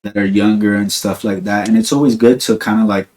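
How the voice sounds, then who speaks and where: A young man from New Jersey chats loosely on a podcast, his voice sitting fairly low. He rambles and circles back, leaning on "like" and "dude" as he goes. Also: steady tone, brisk, a little mellow, a little hiss or hum. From New Jersey, USA. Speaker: podcast host. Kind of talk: casual chat.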